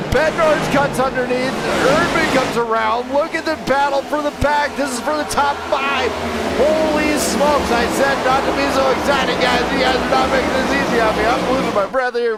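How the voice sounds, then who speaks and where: A man calls a sim race live in a high voice, getting excitable and rattling off drivers as the field battles. He keeps an unhurried pace between bursts. Racing engine noise from the game roars clearly behind him. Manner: excitable, shouting driver names. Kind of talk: live play-by-play race commentary. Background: car engine roar from the game.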